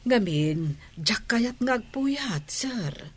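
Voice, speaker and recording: An actress playing a devoted, respectful but steadfast household nanny in a Filipino radio drama, with a deferential, earnest manner and a Filipino accent. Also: female voice, on the high side, animated, drawn-out words, breathy edge, full character voice. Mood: earnest, explaining.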